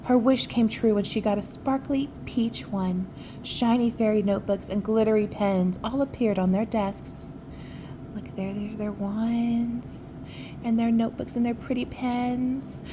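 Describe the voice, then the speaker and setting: A woman reading a picture book aloud to young children, with gentle, admiring, chatty asides. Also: on the high side, fairly even intonation, a little slow, background noise.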